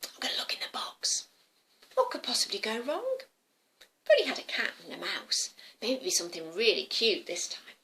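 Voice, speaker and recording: A curious little storybook girl, acted out by a woman, high and very animated. She is excitable and a little sneaky, drawing out her words in wonder. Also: brisk, heavily acted. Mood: eager, secretive. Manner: breathless wonder, stretched-out exclamations.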